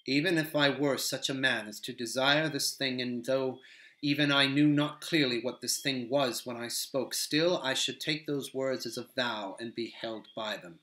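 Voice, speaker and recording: A man voicing a grave, noble male captain of men who speaks slowly and softly. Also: medium pitch, lightly acted. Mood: stern. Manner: slow, soft, measured. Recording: roomy echo.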